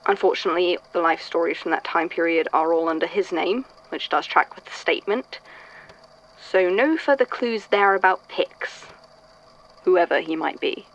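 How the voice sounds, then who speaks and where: A woman's voice: fairly low pitch, moderate pace, lo-fi sound, natural voice. A woman playing an archivist in a fan audio drama, reading old supernatural statements and thinking aloud. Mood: intrigued, musing.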